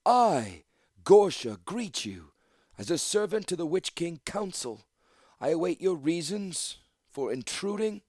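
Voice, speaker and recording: A man voicing a cold, imperious old woman, a council servant in a fantasy audiobook, speaking in a measured, formal, disdainful way. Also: fairly high pitch, sing-song, a little mellow, dry recording, clean studio sound, voice pushed up.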